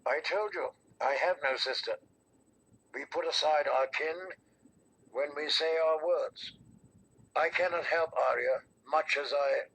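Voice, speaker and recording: A man's voice: on the high side, slow pace, creaky, fairly bright tone, breathy edge, heavily acted, low-quality recording. Man voicing a terse, guarded young lord commander. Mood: resolute, pained. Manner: terse, suspicious. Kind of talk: fantasy audiobook character reading.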